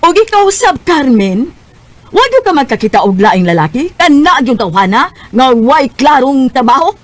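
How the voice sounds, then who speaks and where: High-pitched, scolding Cebuano mother. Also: sing-song, moderate pace, dry recording. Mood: angry, scornful. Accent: Cebuano Filipino. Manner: scornful, commanding. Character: stern, scolding mother who rejects her daughter's suitor. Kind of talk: Bisaya radio drama acting.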